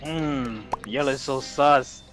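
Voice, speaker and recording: A man in a casual online game, excitable and defensive, switching between Spanish and English as he pleads his case. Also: mid-range voice, takes it slowly. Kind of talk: casual gaming chat. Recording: game music behind.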